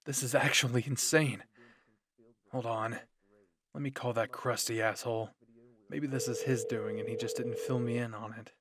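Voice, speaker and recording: A man's voice: mid-range voice, fairly even intonation, normal speed, long pauses, very noisy, natural voice. Guy doing an annoyed blunt anime dude, sweary and exasperated. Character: irritated, foul-mouthed young anime man, blunt and sarcastic. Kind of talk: anime character demo reel acting.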